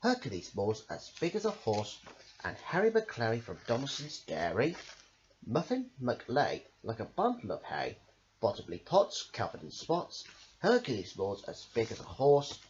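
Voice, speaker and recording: A man's voice: mid-range voice, wildly swooping pitch, normal speed. A man reading rhyming children's stories aloud in a rhythmic sing-song way, drawing out the ends of phrases. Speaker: amateur storybook reader. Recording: dull lo-fi recording, faint noise.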